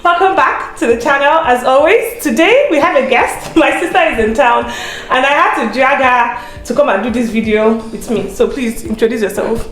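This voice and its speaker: A woman's voice: high voice, moderate pace, few pauses. Laughing woman in an echoey room. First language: Igbo. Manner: laughs through the telling, animated. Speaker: YouTube host. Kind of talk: casual storytelling chat.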